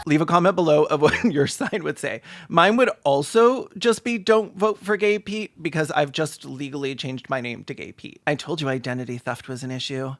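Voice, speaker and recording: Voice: medium pitch, normal speed, few pauses, dry recording, faint music behind. A comedic commentator reacting to videos with sarcastic, campy, playful delivery and mocking asides. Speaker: YouTube host and commentator.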